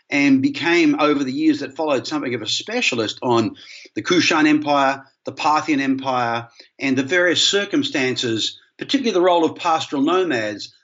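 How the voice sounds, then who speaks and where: Middle-aged Australian man as scholarly guest, articulate and measured, on a slightly dull lo-fi recording. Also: mid-range voice, a bit bright. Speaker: interview guest, history professor. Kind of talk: conversational explanation.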